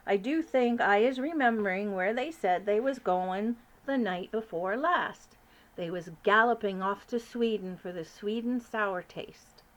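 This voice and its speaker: Woman reading a kindly giant, folksy broken grammar, unhurried. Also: high-pitched, a bit bright, rough recording. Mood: thoughtful. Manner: folksy, ungrammatical speech. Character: big kindly male giant, muddled grammar.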